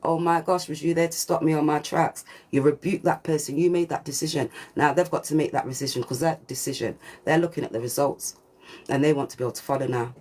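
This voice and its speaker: A woman with a deep voice giving a channelled spiritual reading, preachy and repetitive, with swearing and slang. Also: steady tone, quick pace, a little mellow. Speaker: spiritual reader. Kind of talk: channelled collective reading monologue.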